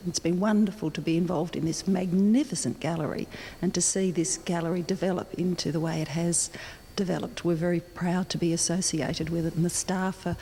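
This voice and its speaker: A woman's voice: lowish voice, mellow. An older woman with an Australian accent answering interview questions off the cuff, hesitating and repeating words as she finds her phrasing. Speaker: support group president and interviewee. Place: large indoor gallery. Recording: echoey hall with clear background noise.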